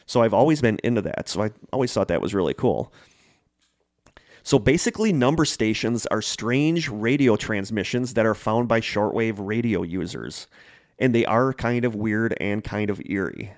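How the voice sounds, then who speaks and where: A man's voice: fairly low pitch, animated, moderate pace, dry recording, clean studio sound. A middle-aged man co-hosting a podcast, telling stories casually in an enthusiastic, rambling way. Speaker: podcast co-host. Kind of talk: casual conversational storytelling.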